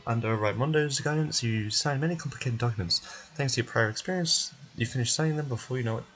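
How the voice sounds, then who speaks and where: A man's voice: mid-range voice, fast, fairly bright tone. A man playing a video game on stream, narrating and commenting as he goes. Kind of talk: live playthrough commentary and narration.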